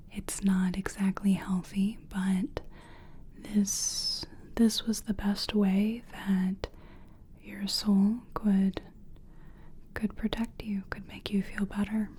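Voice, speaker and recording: A woman giving a soothing, gentle ASMR personal attention roleplay in a breathy whisper, speaking deliberately. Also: mid-range voice. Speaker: ASMR creator playing a soul-cleansing practitioner.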